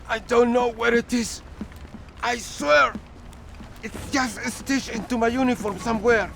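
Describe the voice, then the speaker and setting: An actor playing a frightened, stammering guard in a video game, halting and nervous as he pleads for his life. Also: extremely high pitch, fairly even intonation, gravelly, a bit bright, slight background noise, full character voice. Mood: panicked.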